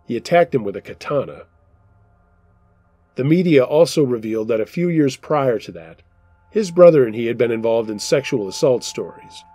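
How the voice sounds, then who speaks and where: A man's voice: medium pitch, slightly rough, dry recording. Man narrating true scary stories. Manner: steady, measured first-person narration. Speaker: storyteller host. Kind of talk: reading submitted true scary stories aloud.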